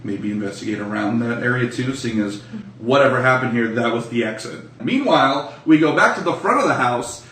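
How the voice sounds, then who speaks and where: A man running an improvised tabletop game as game master, narrating casually in a halting, drawn-out way. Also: medium pitch, expressive, a bit bright, a little hiss or hum. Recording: echoey room, slightly distant, faint music.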